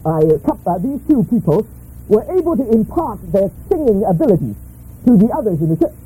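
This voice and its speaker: Man, middle-aged, preacher, high pitch, very dark tone, emphatic and humorous, short phrases. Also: very animated, brisk, a little hiss or hum, rough recording. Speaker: guest preacher. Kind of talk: sermon delivered through an interpreter.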